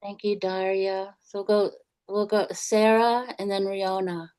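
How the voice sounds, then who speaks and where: A woman facilitating an online circle, calmly handing the turn to the next speaker. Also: mid-range voice, unhurried, clear, resonant tone. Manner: brief, calm handoffs.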